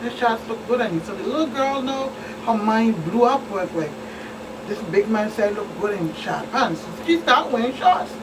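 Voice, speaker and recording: A woman's voice: fairly high pitch, lively intonation, moderate pace. Caribbean woman on a distant, noisy mic. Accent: Virgin Islands Caribbean. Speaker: police officer, expert guest. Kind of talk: talk show interview, explaining cases. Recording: distant mic, clearly noisy room with hum.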